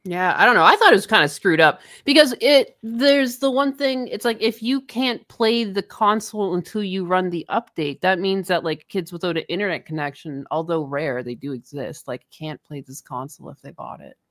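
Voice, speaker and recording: A woman with a fairly low voice chats casually as a livestream co-host. Her sound is close and dry, with hardly any room around it. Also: moderate pace, few pauses, a bit bright, no room sound. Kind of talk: casual chat.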